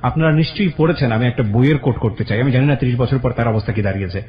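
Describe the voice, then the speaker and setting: A man hosts a radio interview. He talks at a brisk clip and sometimes stops to reflect on his guest's story. The sound is dull and lo-fi, like an old broadcast. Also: medium pitch. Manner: quick, reflective commentator. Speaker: radio host.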